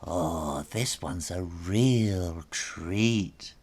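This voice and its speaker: Guy doing a dreamy lovestruck bloke from a kids story, gentle, cooing, super slow. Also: low voice, breathy edge, pitched below the performer's own voice, full character voice. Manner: cooing, languid. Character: soppy, dreamy, lovestruck man, gentle and forgiving.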